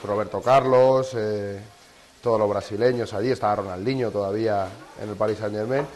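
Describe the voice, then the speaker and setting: Hombre charlatán contando anécdotas. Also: male voice, lowish voice, fairly even intonation, brisk, a little mellow, slight background noise. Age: 30s. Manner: chatty, anecdotal, rambling with restarts. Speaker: sports journalist guest. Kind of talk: casual interview chat. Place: kitchen.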